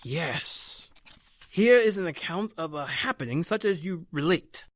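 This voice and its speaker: An actor playing an elderly scholarly doctor of the occult in old-time radio horror style, measured and musing, muttering hesitantly. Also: male voice, a little high, lively intonation. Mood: musing, curious.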